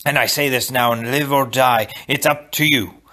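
A man voicing a young storybook hero who delivers commanding, menacing threats with slow theatrical emphasis. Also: medium pitch, steady tone, sharp tone, close to an everyday voice. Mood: contemptuous.

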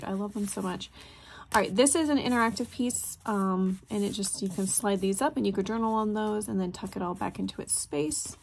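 A woman narrates a craft flip-through in an informal, chatty way. Her voice sits at a middle pitch and she sounds keen on the little details. There is noticeable noise behind her, with small clicks. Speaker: crafter presenting handmade journals. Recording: clear background noise, handling clicks.

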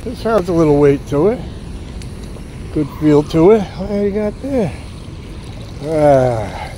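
A man's voice: a little high, big pitch swings, slow, poor audio. A man, chatting to viewers and exclaiming at each find as he magnet fishes at a city pond, recorded on a chest-mounted action camera. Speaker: magnet fishing vlogger. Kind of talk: casual running commentary while magnet fishing. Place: outdoors at a city pond edge. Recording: outdoor camera with loud wind and water noise.

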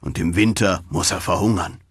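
A man's voice: low-pitched, normal speed, husky, dry recording, studio quality. A man voicing a stern, scornful, self-satisfied male mole in a fairy tale.

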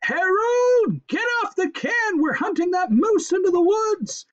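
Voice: very high voice, animated, measured pace, highs cut off, voice pushed up. A narrator voicing a hysterical, bossy housewife in a comic storybook, screaming commands in a screech. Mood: commanding.

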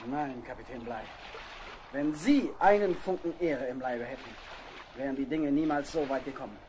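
A man's voice: mid-range voice, normal speed, a little mellow, a little hiss or hum, natural voice. A man acting a stern, anguished naval officer leading a mutiny in a radio play. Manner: stern, commanding, dramatic.

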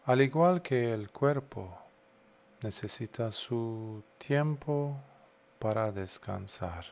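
A man guiding a meditation as a monk would, unhurried, in short phrases separated by long pauses. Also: mid-range voice, expressive, a little mellow. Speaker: Buddhist monk, meditation guide. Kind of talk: guided meditation talk.

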